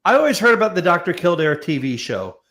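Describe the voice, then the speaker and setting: A man's voice: sits high, very animated, fast, fairly bright tone. An American man talking casually as a knowledgeable guest commentator, wry and often stammering as he restarts his phrases. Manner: hesitant, stammering, wryly knowledgeable. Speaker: guest commentator on classic film and radio. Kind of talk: casual discussion.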